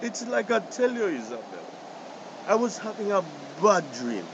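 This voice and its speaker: A man's voice: sits high, sing-song, normal speed, dull audio, background noise. A man playing a frantic, pleading older grandfather with a Belizean Creole accent in a radio drama. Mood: distraught. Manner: urgent, pleading. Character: frantic grandfather searching for his missing granddaughter.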